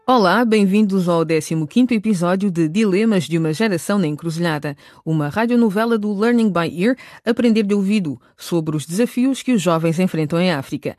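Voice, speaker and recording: A woman's voice: fairly low pitch, fairly quick, few pauses, a little mellow, dry recording, studio quality, faint music behind. A woman reading scripted narration for a radio drama, recapping and introducing scenes.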